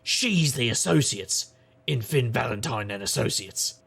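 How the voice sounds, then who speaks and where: Very gravelly, wisecracking paranormal detective. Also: male voice, medium pitch, animated, measured pace, a bit bright, heavily acted. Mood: wry. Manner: wry, rambling, irreverent. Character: wisecracking, jaded paranormal private investigator. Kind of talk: scripted noir-style first-person narration.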